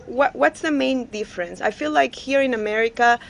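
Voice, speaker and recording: Student asking a halting question. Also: female voice, a little high, vocal fry. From Peru. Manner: halting, searching for words.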